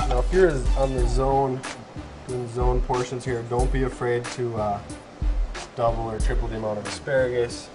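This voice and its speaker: A young man walks through a recipe in his own home kitchen, chatting casually as he works. His pitch sits in a middle range, and the narration stays relaxed and practical. Sizzling from a frying pan runs behind his voice. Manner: relaxed step-by-step narration while cooking. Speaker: cooking show host. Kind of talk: casual cooking demonstration.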